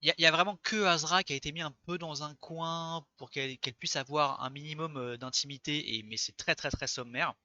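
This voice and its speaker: A man's voice: on the high side, fairly quick, hardly any pauses, pushed and bright, muffled recording. A man narrating a tabletop role-playing game as game master in an informal, conversational way.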